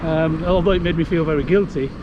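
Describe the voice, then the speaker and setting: A man's voice: a little high, steady tone, fast talker, dull audio. A man from Europe chatting upbeat to camera while cycling a coastal road, thinking aloud with fillers, recorded on a bike-mounted camera. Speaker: touring cyclist vlogger. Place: outdoors on a coastal road with traffic. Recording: loud road and wind noise. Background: passing cars and traffic.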